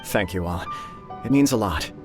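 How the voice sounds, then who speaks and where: Man playing an earnest, low-voiced young groom-to-be. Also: expressive, moderate pace, natural voice. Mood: grateful. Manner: apologetic, formal, sincere. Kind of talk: anime-style video game voice acting. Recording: clear music behind the voice.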